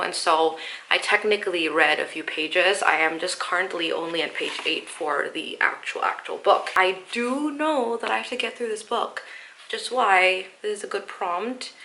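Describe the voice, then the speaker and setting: A woman's voice: on the low side, bright, forceful tone, low-quality recording. A young woman vlogging about books, nasal and stuffed up from the flu, chatty and explaining each pick step by step. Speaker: book vlogger. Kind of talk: casual vlog talk to camera.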